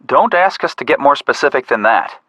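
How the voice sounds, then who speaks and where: Young male detective, video game helper, dry sensible manner, bright voice, lively intonation. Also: mid-range voice, a little fast, slightly rough, dry recording, dull audio. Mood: dry, chiding. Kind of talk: scripted video game hint dialogue.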